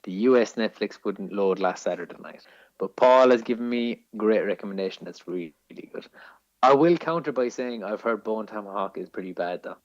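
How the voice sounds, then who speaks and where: Guy with a lowish voice, casual podcast film chat, really dry sound. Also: brisk, no echo, dull audio. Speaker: podcast co-host.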